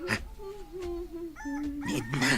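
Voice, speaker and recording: A man's voice: slow, sharp tone. An actor playing a sly, lovesick village man with a Filipino Ilocano accent, pushy and pleading, drawling and hesitating in an audio drama.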